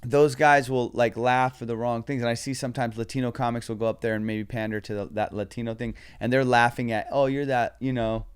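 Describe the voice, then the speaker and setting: A man, a comedian guest on a podcast, chatting casually with lots of swearing and animated storytelling. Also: mid-range voice, fairly quick, few pauses, clean tone. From Southern California.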